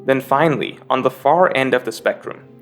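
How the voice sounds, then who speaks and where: A man delivering scripted, analytical video essay narration with measured, emphatic phrasing. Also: medium pitch. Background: guitar music.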